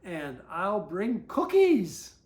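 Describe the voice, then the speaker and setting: A woman's voice: mid-range voice, wildly swooping pitch, extremely slow, darkish tone, heavily acted. A woman voicing an eager young girl from a children's storybook, keen and full of exclamation. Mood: excited.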